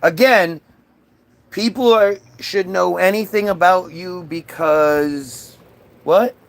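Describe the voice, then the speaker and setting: A man's voice: high voice, takes it slowly. A man in a casual, combative political rant, dismissive and sweary as he answers chat comments. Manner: frequent swearing.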